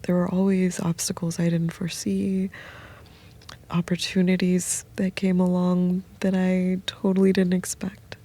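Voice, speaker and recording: A woman whispering soft, meandering, intimate late-night rambling from the floor of a small sound booth. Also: on the low side, completely flat delivery, measured pace. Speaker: host.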